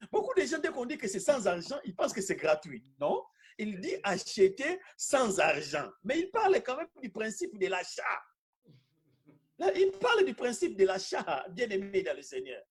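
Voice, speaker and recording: A man preaching an animated, charismatic teaching sermon, emphatic and repeating phrases for effect. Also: high voice, moderate pace, slightly rough.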